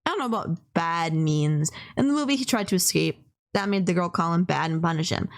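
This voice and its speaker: A woman's voice: on the low side, fairly quick, close to an everyday voice. A woman playing a polite, gushing young female kidnapper in an intimate anime-style roleplay, earnest, apologetic and rambling, obsessively in love. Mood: earnest, insistent.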